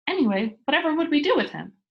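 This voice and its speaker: Woman as an earnest, animated storybook little boy. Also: high-pitched, quick pace, gravelly, dull audio, voice pushed up, full character voice. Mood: horrified protest. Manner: protesting, earnest. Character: earnest, proper young boy, easily horrified. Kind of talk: children's storybook character read aloud.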